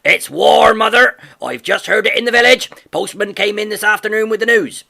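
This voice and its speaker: A man reading an audiobook, voicing a loud, boastful farmer father who shouts excitedly. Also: high voice, moderate pace, gravelly, pitched above the performer's own voice, exaggerated voice. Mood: triumphant. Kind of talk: audiobook character voice.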